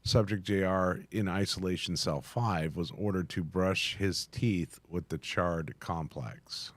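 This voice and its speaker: A man hosting a reaction video, reading text aloud somewhat haltingly and adding casual commentary. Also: low-pitched, noisy background. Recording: music playing behind the voice. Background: music.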